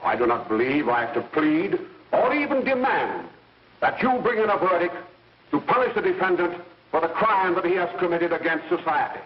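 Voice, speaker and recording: High-pitched, righteous 1930s prosecutor. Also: male voice, animated. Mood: righteous, grave. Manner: declamatory, righteous, deliberate. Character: stern male prosecutor pleading to a jury. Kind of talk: 1930s film courtroom oratory. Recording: muffled, echoey, distant 1930s film soundtrack.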